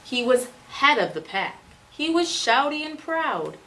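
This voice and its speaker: A woman schoolteacher reads a children's picture book aloud expressively, with dramatic pauses and emphasis for young listeners. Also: sits high, very animated, background noise. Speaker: second-grade teacher reading aloud.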